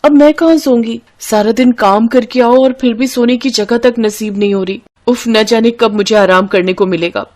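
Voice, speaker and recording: A woman's voice: fairly high pitch, steady tone, moderate pace, hardly any pauses, a little mellow, lightly acted. A woman voicing a tired, overworked young wife in a cartoon story, complaining. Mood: exasperated. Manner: complaining, exasperated.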